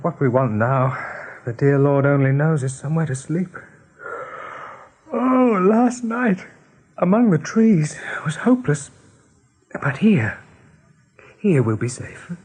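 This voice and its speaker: A man playing a kindly, decisive young male rabbit leader in a radio play, with a husky voice. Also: on the high side, big pitch swings, takes it slowly, long pauses, a little mellow, exaggerated voice. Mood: brisk, concerned. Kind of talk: 1980s radio play acting.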